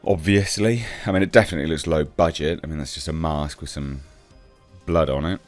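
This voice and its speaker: A man's voice: a bass voice, fairly even intonation, a little fast, dry recording, faint music behind. A man giving casual, off-the-cuff commentary on film news as a reviewer, blunt and sceptical in manner. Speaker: film reviewer, channel host.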